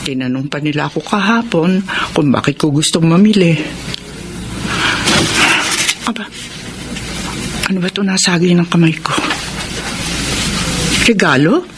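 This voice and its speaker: A woman playing a gentle, sentimental older mother-in-law in a Filipino radio drama, speaking tenderly and with emotion. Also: lowish voice, unhurried, pauses often, heavy background noise, natural voice. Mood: wistful, surprised. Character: warm elderly mother-in-law, gentle, sentimental, easily moved.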